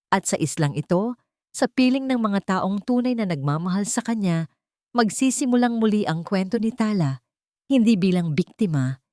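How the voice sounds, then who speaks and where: Low-pitched woman narrating a story. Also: a little mellow, no room sound, studio quality. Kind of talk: scripted narration of a romance story.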